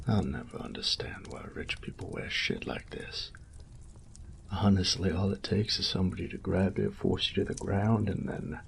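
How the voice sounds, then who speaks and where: A man playing a cocky, sadistic bandit captor in a roleplay audio drama, taunting the listener with a breathy, gravelly drawl and folksy swagger. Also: a bass voice, slight background noise, exaggerated voice. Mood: irritated, blunt.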